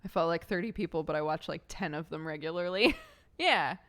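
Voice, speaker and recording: A woman's voice: sits low, a little fast, fairly bright tone. A young woman streaming, chatting casually with viewers, slightly congested from a cold, rambling and laughing often.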